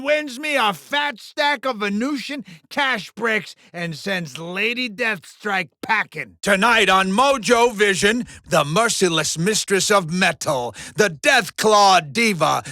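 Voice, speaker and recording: Bombastic, high-pitched alien arena showman. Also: creaky, pushed and bright, no echo, studio quality, heavily acted. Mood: triumphant announcing. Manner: theatrical, bombastic, alliterative showmanship with sarcastic asides. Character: flamboyant, ratings-obsessed alien showman and bloodsport arena announcer. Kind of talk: video game villain voice acting as sports announcer.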